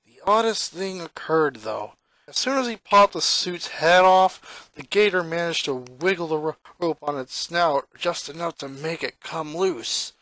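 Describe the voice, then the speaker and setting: A man reading a story aloud as an expressive amateur narrator. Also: on the high side, measured pace, no echo. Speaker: narrator reading fan fiction.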